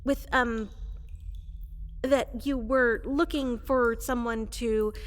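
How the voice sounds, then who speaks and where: A woman's voice: a little high, fairly even intonation, slow. A woman voicing a small, fluffy, eager monster girl in a fantasy comedy roleplay, stammering, apologetic and overly formal. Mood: flustered, apologetic. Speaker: applicant for evil advisor post. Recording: reverberant large hall.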